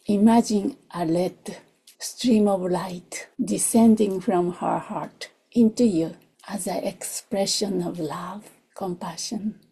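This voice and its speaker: A woman who is not a native English speaker leads a guided meditation in a soft, gentle voice, in short unhurried phrases that often drop toward a whisper. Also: medium pitch, fairly even intonation, vocal fry, husky. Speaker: meditation teacher. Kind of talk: guided meditation, slow and soothing.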